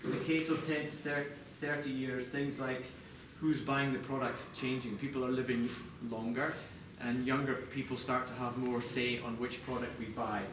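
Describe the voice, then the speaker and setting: A man giving an explanatory product design presentation to a live audience as a chief designer, speaking in measured, slightly hesitant phrases. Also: mid-range voice, level tone. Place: large echoey indoor presentation space. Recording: echoey room, distant mic, background noise.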